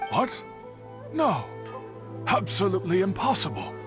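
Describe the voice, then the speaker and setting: An actor voicing an earnest, formal middle-aged swordsmith in a video game, speaking in a measured, eloquent way. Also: male voice, high-pitched, wildly swooping pitch, over music, full character voice. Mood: alarmed, defensive. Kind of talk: video game character acting.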